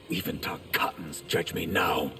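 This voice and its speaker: A proud, guarded mage from a fighting game. He speaks slowly in a very deep voice, with haughty, measured delivery. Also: gravelly, noisy background, full character voice. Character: haughty defensive male high mage. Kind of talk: video game fight intro dialogue.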